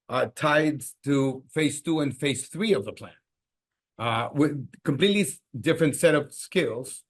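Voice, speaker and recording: Halting male executive explaining results. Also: medium pitch. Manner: halting, repeats words. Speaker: company executive. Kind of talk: earnings call answers, explanatory.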